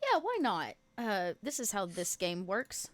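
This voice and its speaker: A woman's voice: medium pitch, wildly swooping pitch, unhurried, no echo. A woman talking casually as a player in a tabletop game.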